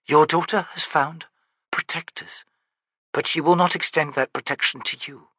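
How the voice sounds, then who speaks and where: Man voicing a solemn woman warrior, low measured tone, bright voice, dry sound. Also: steady tone, no room sound. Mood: earnest. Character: stern, honourable female warrior.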